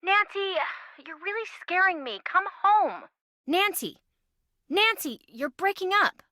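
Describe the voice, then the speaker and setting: A woman's voice: extremely high pitch, sing-song, unhurried, sharp tone, no room sound, clean studio sound, full character voice. An actress playing a sassy young woman, a wisecracking best friend in a video game, with a teasing, sarcastic manner. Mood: worried. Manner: teasing, sarcastic, dryly exaggerated.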